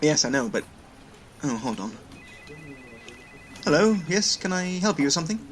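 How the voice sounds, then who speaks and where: A man's voice: a little high, big pitch swings, normal speed, a little hiss or hum. Man acting a dry, sardonic technician. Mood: distracted. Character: busy, sardonic male logistics technician. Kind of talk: audio drama acting.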